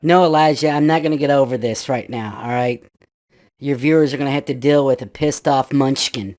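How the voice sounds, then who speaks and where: A man talking through a pitched-up voice changer that makes him sound like a small child, complaining irritably and swearing as he starts telling his story. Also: fairly quick, a little mellow, no room sound, clean studio sound. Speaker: guest storyteller. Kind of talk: casual chat.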